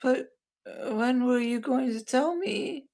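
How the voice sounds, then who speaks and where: A woman's voice: high voice, expressive, slow, a little mellow, exaggerated voice. A woman playing an anxious, bewildered young boy searching for his baby sister in a screenplay table read. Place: online meeting, own room.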